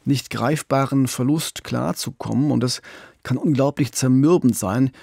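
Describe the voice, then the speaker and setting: A man with a creaky voice talks as a true-crime podcast co-host. He explains in a reflective manner, and the sound is close and dry. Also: medium pitch, a little slow, a little mellow, no echo.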